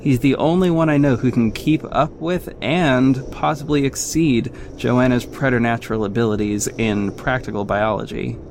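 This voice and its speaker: A man's voice: mid-range voice, steady tone, moderate pace, vocal fry, hardly any pauses, a little mellow, a little hiss or hum, lightly acted. An actor playing a young, cocky but caring male vigilante superhero in a scripted audio drama. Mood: earnest narrating. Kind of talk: scripted first-person narration and acted dialogue. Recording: music behind the voice. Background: music.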